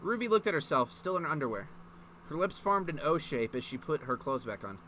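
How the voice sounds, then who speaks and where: A man's voice: a little high, sing-song, brisk, sharp tone, slight background noise, rough recording. A man reading a story aloud in a mocking, informal way, breaking off to add sweary, incredulous commentary. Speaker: online video host reading fan fiction aloud.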